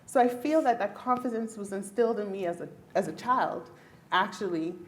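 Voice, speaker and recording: A woman's voice: mid-range voice, moderate pace, a little hiss or hum. Earnest woman from the Bahamas on a panel. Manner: earnest, emphatic, laughs often. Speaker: panelist, neurologist. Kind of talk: panel discussion answer. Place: luncheon event hall.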